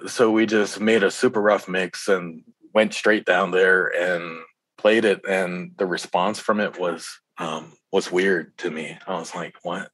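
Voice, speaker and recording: A man from Hawaii, a rapper being interviewed, telling stories in an informal, halting way that often doubles back. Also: sits low, fairly even intonation, a bit bright, no room sound. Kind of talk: casual interview storytelling.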